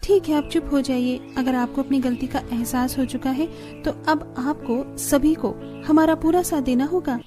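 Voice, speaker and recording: A woman's voice: high-pitched, moderate pace, hardly any pauses, music in the background, natural voice. A woman playing a hurt, stern village wife and mother in a cartoon story, firm and reproachful. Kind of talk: Hindi cartoon story acting.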